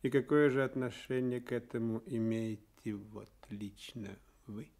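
Guy as rich businessman in radio drama, haughty n dismissive, talks real slow, mid voice. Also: medium pitch, fairly even intonation, a little mellow, natural voice. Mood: cold, dismissive. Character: curt, haughty millionaire businessman. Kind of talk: radio play acting.

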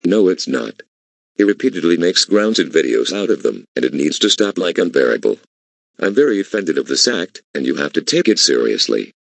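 A man's voice: a bass voice, big pitch swings, moderate pace, vocal fry, no echo, heavily acted. A synthetic male voice playing a scheming cartoon troublemaker, speaking stilted scripted lines. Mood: indignant. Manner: stilted, synthetic text-to-speech delivery. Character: scheming blue cartoon troublemaker who makes prank calls. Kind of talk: scripted animated dialogue.

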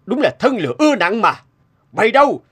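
A man's voice: high-pitched, very animated, brisk, a bit bright, a little hiss or hum. A man playing an irritable, scolding, stingy head of a household in a fairy-tale cartoon. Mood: irritated. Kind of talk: animated fairy-tale dubbing.

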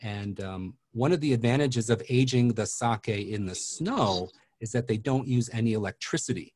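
A man's voice: medium pitch, animated, moderate pace, darkish tone, breathy edge, dry recording. A man in his forties hosting a video call interview, asking friendly leading questions. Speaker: host.

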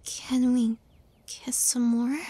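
Woman acting a breathy, high-voiced shy young witch. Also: completely flat delivery. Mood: tender, affectionate. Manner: hesitant, halting, soft-spoken, often dropping to whispers. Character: shy, tender young witch girlfriend, tearful and devoted. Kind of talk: intimate girlfriend roleplay audio, soft and breathy.

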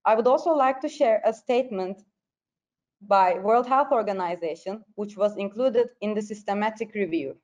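A woman's voice: mid-range voice, normal speed, darkish tone. A woman with a Turkish accent giving a formal, carefully read academic presentation. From Turkey. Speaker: presenter, periodontology postgraduate. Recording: dull, lo-fi online presentation audio.

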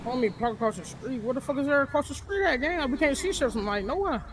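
A high, rapid voice chatters in a crime roleplay game. The talk is urgent, slangy and profane, over faint car and street noise from the game clip. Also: animated.

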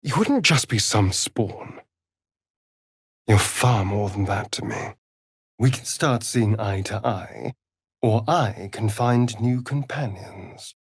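A flamboyant British vampire elf from a fantasy game. His delivery is slow and drawn out, with pitch that swoops dramatically through each phrase. He sounds vain and sardonic, recorded close and dry. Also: expressive, slightly rough, no room sound, heavily acted. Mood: serious, wounded. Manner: arch, drawling, mocking, dramatic. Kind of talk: theatrical fantasy video game acting.

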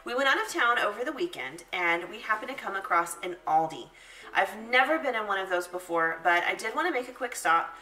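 A woman's voice: mid-range voice, expressive, brisk, pushed and bright, rough recording. A woman in her thirties casually chatting through a grocery haul at home, upbeat and repeating words for emphasis. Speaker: vlogger. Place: home hallway and kitchen, indoors.

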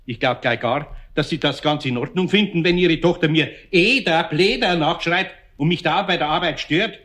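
Older Bavarian craftsman, good-natured grumbler, colloquial, bright forceful tone, children's radio play. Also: fairly high pitch, normal speed. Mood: angry. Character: grumpy but good-natured older male master craftsman.

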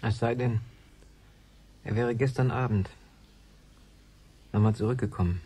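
A man's voice: medium pitch, fairly even intonation, a little slow, warm tone, lightly acted. A man acting as a shaken, stubbornly loyal biologist in a German radio play, earnest and grave.

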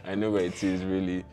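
Low-voiced young Nigerian man telling stories. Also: darkish tone. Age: young adult. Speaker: guest, tech founder. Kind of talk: casual storytelling in an interview. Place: small curtained indoor studio, seated.

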